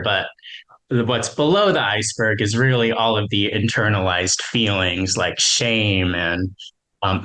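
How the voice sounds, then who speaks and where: A man's voice: medium pitch, fairly bright tone, poor audio. A man who stutters, sharing a personal reflection as a webinar panelist, with frequent repeats and fillers.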